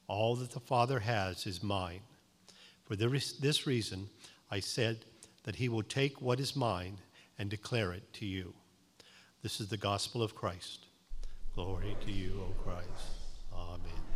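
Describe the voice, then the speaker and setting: A man reading the gospel aloud during a church service, in a steady, measured way. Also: fairly low pitch. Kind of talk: liturgical scripture reading. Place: church sanctuary. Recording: distant voice with long church hall reverb.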